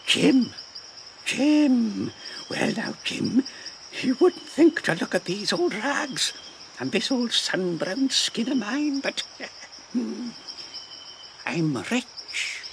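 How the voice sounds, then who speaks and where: A man's voice: extremely high pitch, big pitch swings, drawn-out words, creaky, long pauses, a little hiss or hum, exaggerated voice. A man playing a ragged, half-crazed old marooned sailor in an audio drama, rambling and wheedling in rustic pirate dialect. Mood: excited, sly. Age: older.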